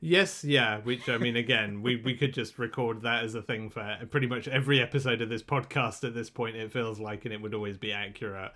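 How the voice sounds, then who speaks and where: A man co-hosting a podcast in casual chat, relaxed and wry, often hesitating and restarting. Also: medium pitch, a little fast, hardly any pauses. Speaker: podcast co-host.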